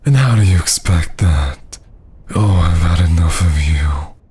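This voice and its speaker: A man playing a haughty, menacing vampire lord in an ASMR roleplay, breathy and husky, often dropping to a whisper, with theatrical archaic phrasing. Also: low voice, unhurried, dark tone, full character voice. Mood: scornful, menacing.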